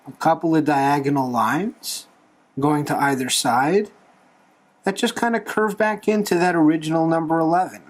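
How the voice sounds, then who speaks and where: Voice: mid-range voice, normal speed. A tutorial host talking through a drawing step by step in a playful, encouraging manner. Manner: playful, light humour, encouraging.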